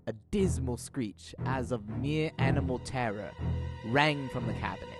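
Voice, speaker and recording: A man's voice: mid-range voice, unhurried, loud music behind the voice. A young man reading scripted dramatic narration of a classic tale, as narrator of an audio drama. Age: young adult.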